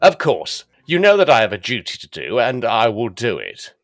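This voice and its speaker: An actor playing a dogged, plain-spoken police detective in a gothic audio drama, formal and deferential. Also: male voice, mid-range voice, huge pitch swings, normal speed, no echo, clean studio sound. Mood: earnest, suspicious.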